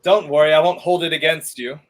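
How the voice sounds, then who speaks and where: A man's voice: on the high side, fairly even intonation, fairly quick, fairly bright tone, lightly acted. A young man playing a debonair, wry fugitive in a 1930s radio play, with a clipped theatrical delivery. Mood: breezy, teasing. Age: young adult. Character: debonair, wry wrongly accused man on the run.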